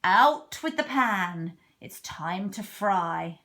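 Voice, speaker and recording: A woman's voice: mid-range voice, animated, very slow, fairly bright tone, heavily acted. A woman playing a hungry, bossy but helpful storybook king who declares things with stretched-out, emphatic words. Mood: commanding, helpful. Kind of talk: children's storybook read-aloud.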